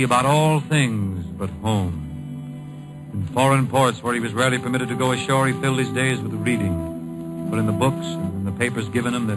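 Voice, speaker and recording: A man narrating a 1950s radio drama, solemn and poetic with deliberate phrasing. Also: mid-range voice, close to an everyday voice, rough recording.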